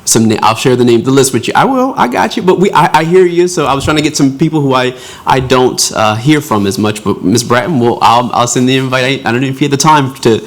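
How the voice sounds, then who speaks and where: A man's voice: medium pitch, animated, fast. A man, a city official, replying at a council meeting in a hesitant, friendly way, restarting often. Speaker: city official answering public comment. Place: council chamber.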